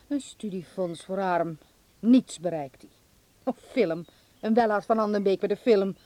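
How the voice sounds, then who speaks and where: A woman's voice: mid-range voice, unhurried, mellow, natural voice. A woman acting a bitter, domineering, scornful middle-aged mother in a Dutch radio drama, indignant and reproachful.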